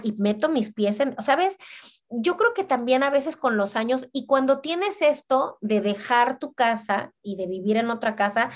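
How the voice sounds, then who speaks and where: A woman, talking animatedly as a guest and often repeating words as she searches for them. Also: a little high. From Mexico. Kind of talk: casual conversation.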